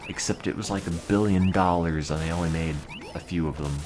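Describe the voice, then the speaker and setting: A man's voice: deep voice, fairly quick. A young adult man streaming and answering his chat in a dry, rambling, offhand way. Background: music playing.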